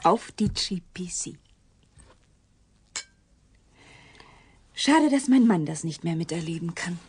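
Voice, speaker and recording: A woman acting in a German radio drama as an obsessive, coolly unsettling scientist, speaking in a soft, intimate, matter-of-fact way with a husky, breathy voice. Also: fairly low pitch, expressive, normal speed. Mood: composed.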